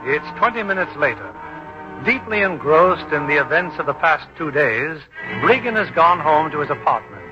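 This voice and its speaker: A man's voice: a little high, sing-song, normal speed. A man hosting and narrating a radio drama in a 1940s scripted broadcast style. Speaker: narrator and host. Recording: dull vintage radio recording, music behind. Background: music.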